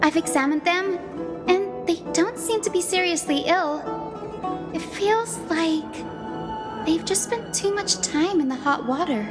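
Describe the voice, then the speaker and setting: High-pitched, earnest young healer girl. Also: unhurried, music in the background. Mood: earnest, worried. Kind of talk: video game voice acting.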